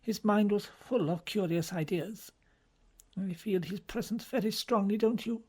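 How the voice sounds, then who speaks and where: A man voicing an anxious, confiding older cardinal in an audiobook, speaking earnestly and sometimes in a hush. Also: high voice, measured pace, breathy edge, voice pushed up. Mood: wistful, confiding. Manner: fretful, earnest, hushed at times.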